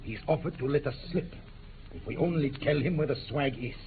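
An actor playing an irritable, arrogant, scheming count, curt and domineering, in a 1950s radio drama. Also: male voice, mid-range voice, fairly quick, narrow, tinny audio, background noise, natural voice. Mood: grim, calculating. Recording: thin 1950s radio recording, music behind.

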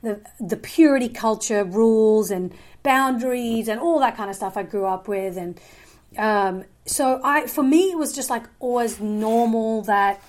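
A woman's voice: on the high side, unhurried. A woman, talking earnestly as a guest in a podcast interview, with many fillers and restarts. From Australia. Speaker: guest filmmaker.

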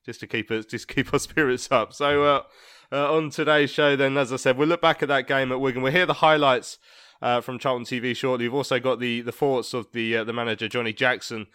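A man's voice: mid-range voice, steady tone, brisk, a bit bright, clean tone, no room sound, studio quality. A man hosting a casual podcast about a football club, chatting in a rambling way with plenty of fillers. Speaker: podcast host. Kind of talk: casual fan podcast chat.

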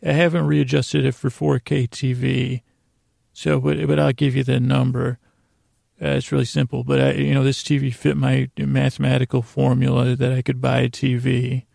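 An American man with a creaky, gravelly voice hosting a podcast, telling a meandering bedtime monologue that keeps interrupting itself. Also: medium pitch, flat delivery, a little mellow, dry recording, studio quality. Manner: meandering, halting, self-interrupting, digressive. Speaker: podcast host.

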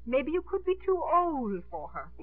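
A fairly high voice a brisk, sardonic mother in a vintage radio play. She sounds wry and exasperated, on a thin, narrow old recording. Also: sing-song. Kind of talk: 1950s radio comedy-drama acting.

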